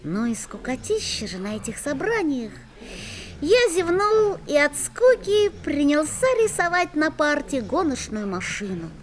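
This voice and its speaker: A mischievous schoolboy narrator. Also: female voice, high-pitched, big pitch swings, measured pace. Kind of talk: radio play narration. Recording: music playing behind.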